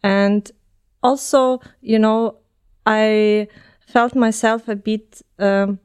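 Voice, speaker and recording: Smooth, even-toned woman. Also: medium pitch, very slow. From Ukraine. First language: Ukrainian. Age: 20s. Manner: many ums and uhs, non-native phrasing. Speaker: podcast guest. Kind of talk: casual interview answers.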